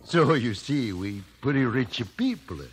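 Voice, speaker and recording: An actor playing a kindly, earnest immigrant shopkeeper with an Italian accent and halting broken English, in 1950s radio drama style. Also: male voice, mid-range voice, expressive, slightly rough. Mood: gently earnest. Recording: noisy vintage radio recording.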